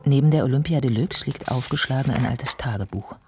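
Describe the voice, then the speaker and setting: An actor narrating a radio drama about a writer's life, in a measured, precise and observant way. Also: male voice, mid-range voice, background noise, natural voice. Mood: composed, factual.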